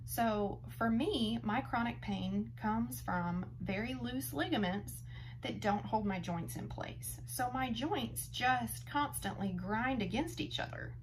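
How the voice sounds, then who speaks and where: Woman, 30s, earnest vlogger testimonial, a little gravelly, faint room hiss behind. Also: fairly high pitch, poor audio. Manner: earnest and empathetic, speaks directly to the viewer. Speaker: vlogger sharing her chronic pain story. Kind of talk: heartfelt personal testimonial.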